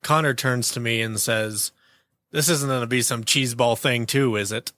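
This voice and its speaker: A man's voice: mid-range voice, fairly even intonation, normal speed, bright, forceful tone, dry recording. A man hosting a casual podcast discussion, speaking in a declarative, mock-indignant way. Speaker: podcast host.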